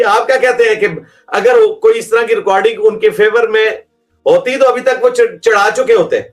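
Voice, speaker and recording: A man with a Pakistani accent in a question-and-answer talk, arguing emphatically as a religious scholar and throwing out rhetorical questions. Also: high-pitched.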